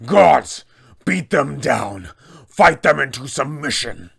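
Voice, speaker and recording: A man voicing a brutal, menacing town enforcer from a fantasy tabletop game, forceful and commanding. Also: medium pitch, expressive, slow pace, vocal fry, slightly rough, voice pushed up, exaggerated voice. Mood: barking orders. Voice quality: forceful, raised voice. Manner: commanding, threatening.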